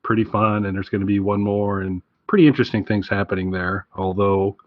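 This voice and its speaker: A man with a fairly low voice hosts a casual podcast. He talks in an enthusiastic, rambling way. The sound is a little roomy and muffled. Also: steady tone, fairly quick. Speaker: podcast host. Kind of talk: casual recommendation chat.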